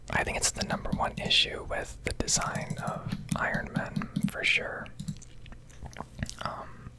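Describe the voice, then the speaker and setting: A woman whispering a rambling, casually sweary ASMR commentary over gameplay, close to the microphone. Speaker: game streamer.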